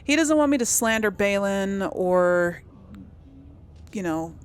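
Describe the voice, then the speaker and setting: A woman's voice: medium pitch, animated, slow pace. A woman doing casual gameplay commentary, hesitant and rambling as she thinks aloud to herself over game ambience. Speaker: host of a role-play let's play series. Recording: clear background noise, game ambience.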